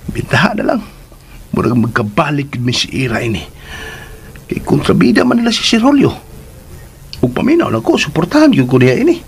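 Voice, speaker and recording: A man's voice: medium pitch, vocal fry, slight background noise. An actor with a Filipino Cebuano accent playing a lovelorn, cheerful young man who chats expressively in a radio drama. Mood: hopeful, amused. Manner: expressive, chatty, talking to himself.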